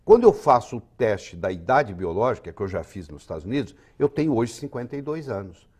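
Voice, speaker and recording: A man's voice: medium pitch, very animated, brisk, darkish tone, slightly rough. A man in his sixties with a Brazilian accent, a physician giving confident, lecturing explanations as an interview guest. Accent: Brazilian Portuguese. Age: 60s.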